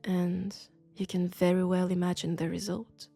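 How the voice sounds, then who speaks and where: A woman with a French accent playing an elegant, condescending vampire scholar in an intimate roleplay audio drama, speaking deliberately and seductively. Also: fairly low pitch, flat delivery, creaky, husky. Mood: calm, explaining. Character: elegant, sophisticated, coolly curious female vampire scholar. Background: faint dark ambient music.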